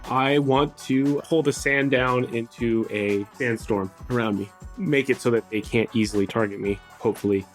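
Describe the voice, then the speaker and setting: A man of medium pitch talks through his moves in a tabletop roleplaying game. His delivery is casual and conversational, and faint music plays behind him. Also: steady tone, moderate pace, few pauses. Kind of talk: casual game talk, describing character actions.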